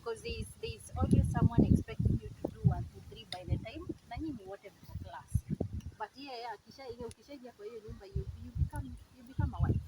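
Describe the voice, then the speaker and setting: A woman's voice: sits high, sing-song, brisk, creaky. A Kenyan woman offers advice conversationally, moving between two languages. Her intonation swings widely. She sounds some way from the microphone, with heavy noise over the live recording. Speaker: panel speaker. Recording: very noisy, distant microphone, live session.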